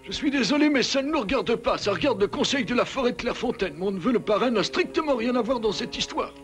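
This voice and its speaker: Old cartoon wolf grandpa: very high voice, firm yet kindly, frank and measured. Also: male voice, lively intonation, a bit bright, faint music behind. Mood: firm, dismissive. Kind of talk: French cartoon dub acting.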